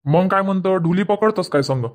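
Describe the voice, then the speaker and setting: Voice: medium pitch, fairly quick, natural voice. Grumbling Marathi village farmer husband, voiced by an actor. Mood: insistent. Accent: rural Vidarbha Marathi. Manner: grumbling, dismissive. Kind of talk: cartoon comedy dialogue.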